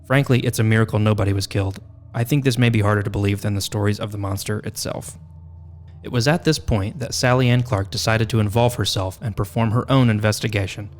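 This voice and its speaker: A man's voice: lowish voice, fairly even intonation, fast, a little hiss or hum. A man hosting a podcast, reading scripted narration in a wry, tongue-in-cheek way. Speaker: podcast host.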